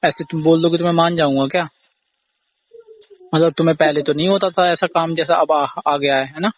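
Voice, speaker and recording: A man's voice: on the high side, fairly even intonation, fairly quick. A young man arguing casually in an accusing, repetitive, aggrieved way on a phone call recording. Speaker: boyfriend or husband on a phone call. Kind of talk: casual argumentative phone conversation.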